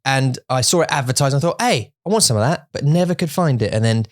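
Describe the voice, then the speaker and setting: A man's voice: mid-range voice, animated, quick pace, dry recording, clean studio sound. A man with an English accent co-hosting a podcast, chatting casually with sarcastic banter. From England. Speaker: podcast co-host. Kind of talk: casual bilingual chat.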